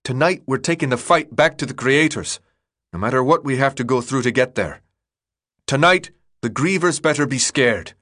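A man voicing a blunt, defiant teenage boy leader rallying his group in an audiobook, with forceful, colloquial speech. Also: mid-range voice, moderate pace, pitched above the performer's own voice, natural voice. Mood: defiant, rousing. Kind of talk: audiobook character dialogue.

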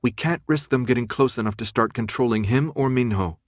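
A man's voice: mid-range voice, very animated, a little fast, no echo, clean studio sound. Insistent, tough young woman voiced by a man. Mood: hushed, insistent. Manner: insistent, businesslike.

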